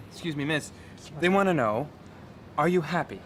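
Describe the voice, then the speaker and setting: A man's voice: expressive, normal speed, creaky. A man playing a polite, formal tour guide and interpreter in an audio drama, explaining things to visitors. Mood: polite, instructive. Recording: rumbling background noise.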